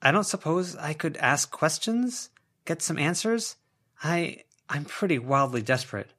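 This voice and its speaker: Male reader as worried, conciliatory young woman, gently hesitant, raised pitch, audiobook character. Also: animated, measured pace, heavily acted. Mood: flustered, pleading. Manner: hesitant, placating.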